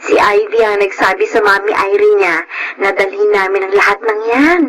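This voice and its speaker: A woman's voice: medium pitch, fairly even intonation, normal speed, a bit bright, a little hiss or hum, lightly acted, low-quality recording. A woman playing a devoted nanny and mother in a Filipino radio drama, with a Filipino accent. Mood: affectionate. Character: devoted nanny and mother telling her life story.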